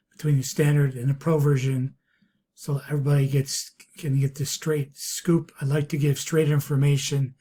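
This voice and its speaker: Halting male software reviewer, mellow tone. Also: on the high side. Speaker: product reviewer. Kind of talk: screen-recorded software walkthrough. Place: voice-over recorded over a screen capture.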